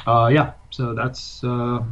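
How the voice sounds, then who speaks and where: A man's voice: mid-range voice, level tone, slow, highs cut off. A man from Ukraine, a writer appearing as a guest, answering questions hesitantly with many ums and uhs. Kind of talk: interview answers.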